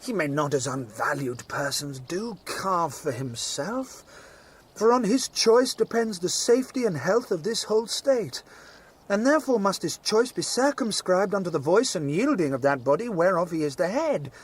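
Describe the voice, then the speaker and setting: An actor playing an earnest, protective young nobleman who cautions his sister in measured Shakespearean verse. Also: sits high, lively intonation, breathy edge. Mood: earnest warning. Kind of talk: Shakespearean stage acting.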